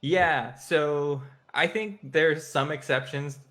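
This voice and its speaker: A man's voice: on the high side, takes it slowly, a bit bright. A man co-hosting a live stream, chatting in an informal, conversational way. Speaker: co-host. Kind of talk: casual chat on a live stream.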